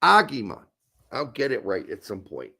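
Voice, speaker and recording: A man co-hosting a casual podcast chat, hoarse with his voice going, blunt and opinionated with some swearing. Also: mid-range voice, huge pitch swings, normal speed, bright, forceful tone. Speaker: co-host.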